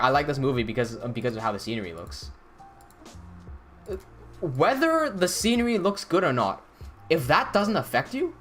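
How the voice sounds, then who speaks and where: A young man from Hong Kong talks casually to his stream while working at a desk, rambling through his points with restarts and blunt swearing. His voice sits at a middling pitch, and faint music plays underneath. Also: fairly bright tone. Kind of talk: casual live-stream chat. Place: indoor room at desk.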